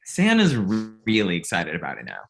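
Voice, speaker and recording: A man's voice: fairly low pitch, wildly swooping pitch, fairly quick, creaky, fairly bright tone, heavily acted. A man playing a glib, self-deprecating young filmmaker in a comedy table read, casual and wry. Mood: dismissive.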